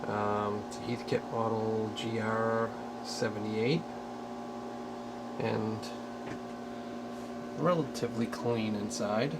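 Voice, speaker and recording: A man's voice: lowish voice, slow pace, long pauses. A man giving a casual, unscripted hobbyist show-and-tell in a hesitant, rambling, matter-of-fact way. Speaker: radio hobbyist showing a restoration project. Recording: loud steady background hum and noise.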